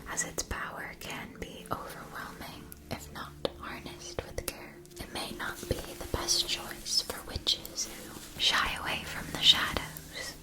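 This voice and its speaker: Woman whispering as a slow, mystical wand seller. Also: lightly acted, poor audio. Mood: calm, solemn. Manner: measured, deliberate, formal salesmanship. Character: calm, knowing female wand seller at a witch school shop. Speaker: ASMR roleplay performer. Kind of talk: scripted ASMR roleplay, whispered.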